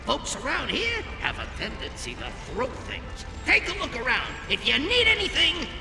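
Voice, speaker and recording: Wildly swooping, high-pitched huckster greeter. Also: male voice, huge pitch swings, moderate pace, vocal fry, full character voice. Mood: jokey, salesy. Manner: wisecracking huckster patter. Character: manic, pushy male fight-club greeter hologram. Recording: echoey hall with music behind.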